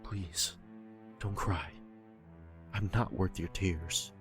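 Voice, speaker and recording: A man acting in an audio drama as a guilty, evasive lover, earnest and apologetic, with a husky, halting delivery. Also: low voice, over music. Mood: regretful, pleading.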